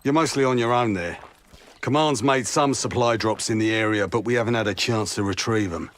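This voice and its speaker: An actor with a British accent playing a gruff, sardonic SAS commander in a war video game. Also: male voice, on the low side, fairly quick, fairly bright tone, a little hiss or hum, natural voice. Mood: brusque, dry. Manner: brusque, sardonic, clipped orders. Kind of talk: video game cutscene acting.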